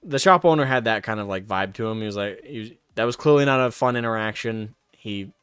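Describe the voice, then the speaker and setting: Smooth-voiced man chatting on a stream. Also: fairly low pitch, fairly quick, a bit bright, no room sound, clean studio sound. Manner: reads card text aloud, then rambles into anecdotes. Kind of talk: casual live stream chat.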